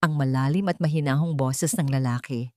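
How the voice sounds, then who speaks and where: A woman's voice: rumbling low, a little fast, a little mellow, dry recording, clean studio sound. A woman with a Filipino accent reading scripted, dramatic narration for a romance drama story.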